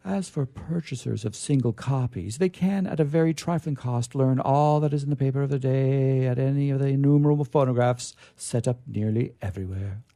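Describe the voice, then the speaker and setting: A man reads vintage science fiction aloud with a formal, oratorical air. His voice is dark and relaxed with a gravelly creak, recorded close and dry. He phrases things theatrically, pausing for emphasis and stretching key words. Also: medium pitch, no room sound. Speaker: reader at a literature reading. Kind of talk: dramatic reading of a vintage science fiction story.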